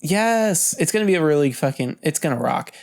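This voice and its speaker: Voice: mid-range voice, expressive, a little fast. A podcast co-host chatting casually about movie news, swearing freely and often.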